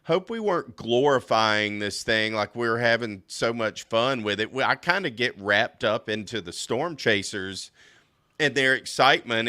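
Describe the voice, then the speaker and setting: Hoarse-voiced man chatting on a podcast. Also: medium pitch, bright, forceful tone, dry recording, clean studio sound. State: losing his voice. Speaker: podcast co-host. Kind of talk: casual chat. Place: hotel room.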